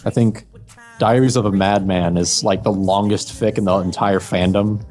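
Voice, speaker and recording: A man's voice: lowish voice, fairly even intonation, moderate pace, a little mellow, soft background music. A man with an American accent, a guest voice actor and story narrator, chatting casually in a group video call with lots of fillers and restarts. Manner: rambling, with many fillers and restarts.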